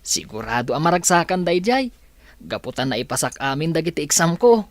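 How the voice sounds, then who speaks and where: Eager schoolchild, dry sound. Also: female voice, low voice, very animated, a little fast, no echo. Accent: Ilocano. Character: eager, affectionate schoolchild talking to grandmother. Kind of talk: radio drama acting.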